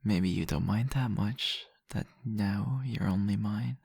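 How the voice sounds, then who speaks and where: Slow, husky seductive vampire played by a man. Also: fairly low pitch, darkish tone, dry recording, clean studio sound, exaggerated voice. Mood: possessive, teasing. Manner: slow, teasing, murmuring, deliberate. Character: seductive, possessive male vampire host, teasing and predatory. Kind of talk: intimate ASMR roleplay addressed to the listener.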